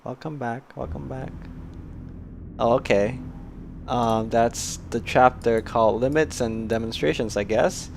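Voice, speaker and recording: A man's voice: medium pitch, fairly even intonation, measured pace, creaky, slight background noise. A man streaming a story game, chatting casually, laughing at his own jokes and reading game text aloud.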